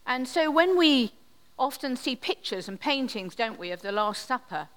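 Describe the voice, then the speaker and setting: A woman leading a communion service, giving a gentle, reflective sermon with measured pauses. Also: sits high, very animated. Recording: long hall reverb.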